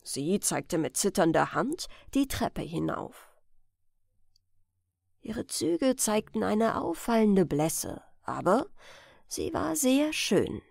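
A woman's voice: mid-range voice, big pitch swings, takes it slowly, gravelly, a little mellow, no room sound, studio quality. A woman narrating a scripted crime story as an audiobook reader.

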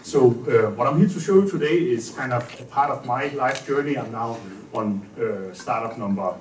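A man's voice: medium pitch, moderate pace. A man, a startup founder speaking energetically and candidly at a conference panel. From Denmark. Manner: energetic, candid, gestures while talking. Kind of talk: casual conference talk. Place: indoor conference room. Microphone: stand microphone at a panel table. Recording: roomy hall, mic from a distance, faint hum.

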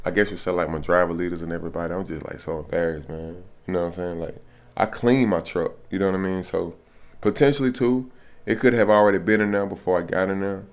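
Low-voiced American man, slangy casual vlogger. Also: fast talker. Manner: slangy, repeats "man", "bro" and "you know what I'm saying". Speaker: truck driver vlogger. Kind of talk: casual vlog talk to camera. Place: small furnished hotel room, indoors.

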